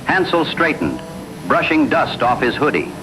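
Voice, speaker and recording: A man's voice: big pitch swings, normal speed, over music, low-quality recording. A man reading a scripted horror story as narrator. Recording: loud rumbling radio-like background noise.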